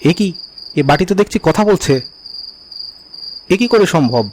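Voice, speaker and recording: A man's voice: a little high, animated, moderate pace, a little mellow, a little hiss or hum, close to an everyday voice. A man playing a poor, henpecked but kindhearted village husband in a cartoon, given to grumbling and muttering. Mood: astonished.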